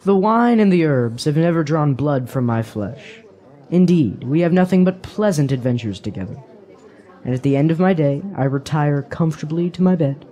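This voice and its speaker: Guy playing a laid back young hedonist, mellow soft-edged voice, wry teasing, fantasy radio play. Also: a little high, animated, moderate pace, close to an everyday voice. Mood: wry, carefree. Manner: languid, wry, flowery phrasing. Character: carefree young hedonist drinker, teasing and philosophical. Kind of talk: fantasy radio drama acting.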